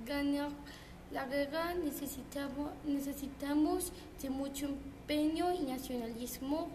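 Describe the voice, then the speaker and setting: Woman as a polite lady historian, high voice, hesitant, echoey noisy room. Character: female French historian, a polite guest. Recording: echoey, noisy homemade recording.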